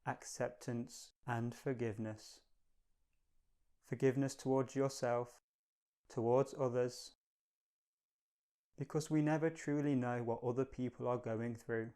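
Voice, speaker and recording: A man leading a guided meditation in a calm, gentle, measured manner, giving slow, soothing instructions. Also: medium pitch.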